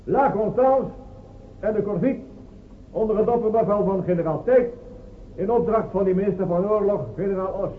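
A man's voice: sits high, a little fast, recorded from a distance, a little hiss or hum, lightly acted. An actor playing a stern male prison official who reads out a decree to prisoners in a commanding, barking way. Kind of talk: radio drama acting. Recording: echoey, phone-like lo-fi radio recording.

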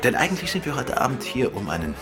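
An actor playing a courteous, urbane gentleman detective with a composed manner in a radio crime drama. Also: medium pitch, very animated, fast talker, music in the background, natural voice. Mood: composed, inquiring. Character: suave, courteous male gentleman amateur detective.

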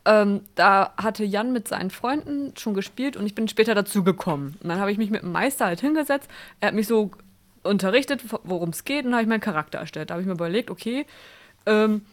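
A woman's voice: medium pitch, expressive, fast talker. A young woman co-hosting a casual podcast chat, talking with many fillers and restarts. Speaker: podcast co-host.

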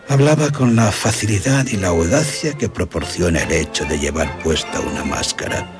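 A man playing an aging aristocratic general in a radio drama, telling his tale in a formal, measured, theatrical way. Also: deep voice. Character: aging aristocratic general recounting a haunting memory, courtly, insistent. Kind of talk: Spanish radio drama, literary narration and dialogue. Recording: radio drama with music underneath.

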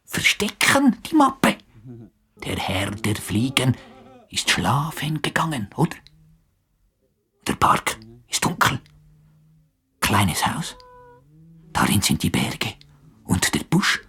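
An actor playing a timid, confused male mental patient in a radio drama, breathy and disjointed, referring to himself in the third person. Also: medium pitch, wildly swooping pitch, slow pace, long pauses, sharp tone, full character voice. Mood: agitated, fearful.

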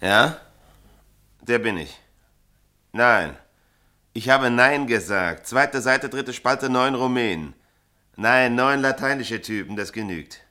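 An actor in a German radio play playing a middle-aged, brusque, sarcastic veteran newspaper editor. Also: male voice, medium pitch, sharp tone. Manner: brusque, sardonic, bossy.

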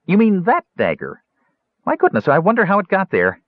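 Storybook kender, voiced by a male reader: small, eager, chatterbox, pitched high and lively. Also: normal speed, no echo, exaggerated voice. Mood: eager, chattering. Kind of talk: audiobook character voice. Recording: dull, dry lo-fi recording.

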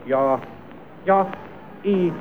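Cheeky naive comic rascal, very high voice, southern German dialect, radio play, tinny old recording. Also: male voice, drawn-out words, faint music behind. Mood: naive curious. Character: Kasperl, cheeky, quarrelsome, naive male puppet-show rascal.

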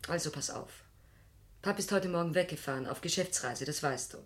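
A woman's voice: sits low, a little fast, lightly acted. A woman playing a strict middle-aged mother in a radio play, insistent and exasperated as she explains herself. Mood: confiding, insistent. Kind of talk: German radio play acting.

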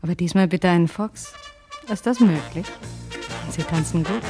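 A woman's voice: on the low side, normal speed, lightly acted. An actress playing a coquettish, exclamatory society lady at a dance in a radio play. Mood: gushing, flirtatious. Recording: old radio scene with music behind.

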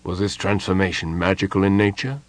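A man voicing a stern, patient male mage who explains things gravely and earnestly in a storybook reading. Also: low-pitched, level tone, close to an everyday voice. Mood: concerned. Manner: measured, serious, explanatory.

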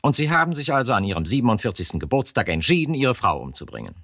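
An actor in a radio drama playing an insistent police chief who questions a suspect with dry, probing politeness and some exasperation. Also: male voice, mid-range voice, big pitch swings, fast talker, fairly bright tone, dry recording.

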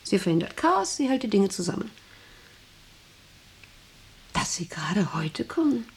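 A woman's voice: mid-range voice, big pitch swings, a little slow, a little hiss or hum. An actress playing an imperious, bitter female factory boss with a commanding, scornful and intense delivery in a radio drama. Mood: brooding, fervent. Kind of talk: German radio drama acting.

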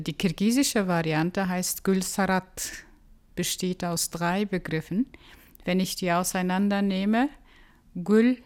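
A woman from Kyrgyzstan explaining things in an interview, in a halting, word-by-word way. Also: on the low side, darkish tone. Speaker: legal anthropologist.

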